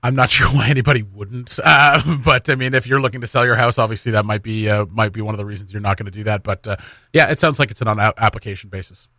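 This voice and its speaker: A man's voice: fairly low pitch, fast, bright, forceful tone, no echo, clean studio sound. A Canadian man hosting a casual morning radio chat in a jokey, conversational way, often repeating phrases for emphasis. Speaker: radio host. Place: radio studio. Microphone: close studio microphone.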